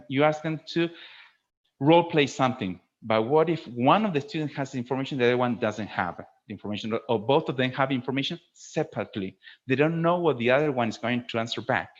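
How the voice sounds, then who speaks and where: A man presenting a webinar to fellow teachers in a didactic way, stressing key words and often checking in with a short questioning tag. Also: mid-range voice. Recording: dull lo-fi online webinar audio.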